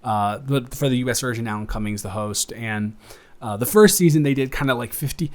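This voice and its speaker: A man playing a tired, rambling, defensive roommate in an improvised casual roleplay audio, halting and drifting off topic. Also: medium pitch, voice pushed down, natural voice.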